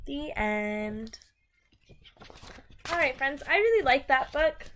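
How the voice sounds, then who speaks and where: High-pitched woman reading to young children. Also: big pitch swings, slow, background noise. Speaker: teacher reading a picture book aloud to young children. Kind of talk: read-aloud storytime for children.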